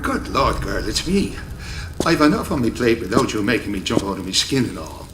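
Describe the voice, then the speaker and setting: An actor playing a caring, gruff Irish priest in a video game, folksy and wry as he fusses and scolds. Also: lowish voice, big pitch swings, brisk, echoey room, over music. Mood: exasperated. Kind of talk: video game cutscene acting.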